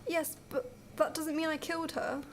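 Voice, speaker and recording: A woman's voice: high voice, little pitch movement, moderate pace, a little hiss or hum, natural voice. A woman acting as a guarded suspect in an amateur radio drama, giving terse, careful answers. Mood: defensive. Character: guarded female hospital worker suspected of murder.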